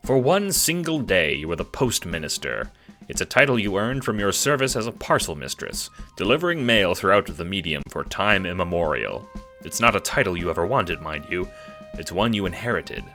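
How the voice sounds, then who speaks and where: Deep-voiced man narrating an audio drama. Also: sing-song, moderate pace, bright, forceful tone, soft background music. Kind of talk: scripted second-person audio drama narration.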